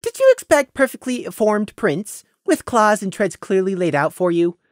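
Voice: medium pitch, very animated, normal speed, no room sound, clean studio sound, voice pushed up, lightly acted. A narrator voicing a sardonic, wary male pony scout who mocks sweetly. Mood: mocking. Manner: sweetly mocking, hissing.

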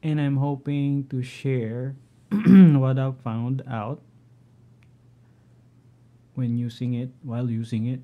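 A middle-aged man with a Filipino accent, talking through his home network setup in a halting way, often clearing his throat. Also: mid-range voice, steady tone, mellow. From Philippines. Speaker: tech vlogger. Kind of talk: casual screen-share walkthrough.

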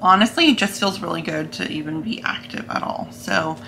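A young American woman talks casually to camera in a bright, forward voice. Her pitch sits a little low. She is recorded indoors with faint noise behind her. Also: fairly quick. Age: young adult. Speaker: vlogger. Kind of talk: casual vlog talk to camera.

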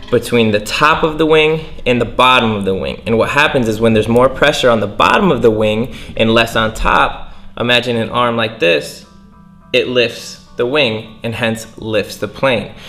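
A man's voice: mid-range voice, sharp tone. A young man hosting a casual lesson, explaining how sailing works in plain words while gesturing, indoors by a window. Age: young adult. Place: indoors by a window near the water.

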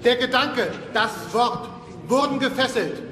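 Impassioned orator, high, ringing, reverberant. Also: male voice, a little slow, bright, forceful tone, full character voice. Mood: impassioned, defiant. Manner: solemn, rhetorical declamation. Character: impassioned male 19th-century revolutionary orator addressing the people. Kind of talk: declaimed political speech, historical dramatization. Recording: echoing hall with long reverb.

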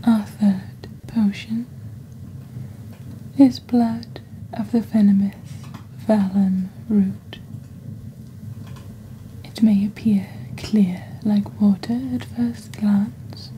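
A woman voicing a witch who hosts a potion shop in soft, whispery, breathy ASMR, speaking slowly and deliberately in a measured sales patter. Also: medium pitch, fairly even intonation, slight background noise, rough recording. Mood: ominous, calm. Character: witch shop host peddling dark potions and poisons. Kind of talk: soft-spoken ASMR shopping-channel presentation.

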